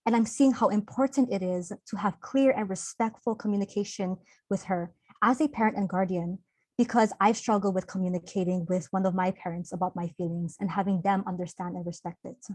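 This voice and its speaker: A woman's voice: mid-range voice, steady tone, a little fast, a little mellow, no room sound. Young woman, earnest, conversational, video call audio, dry sound. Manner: earnest, open, conversational with fillers. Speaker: workshop presenter. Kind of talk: online workshop talk.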